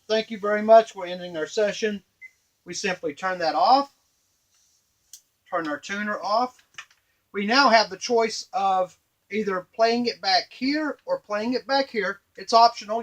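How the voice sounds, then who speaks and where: A middle-aged man giving an informal, halting hands-on tutorial at a workbench, explaining step by step. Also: high-pitched, poor audio. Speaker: device maker and demonstrator. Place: small indoor workshop at a workbench. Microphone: camera a few feet away.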